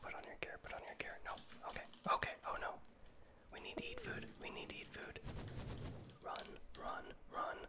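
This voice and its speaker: A man's voice: very high voice, huge pitch swings, moderate pace. A man whispering gameplay commentary in an ASMR style, talking himself through tense moments and repeating words when he panics. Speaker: gaming video creator.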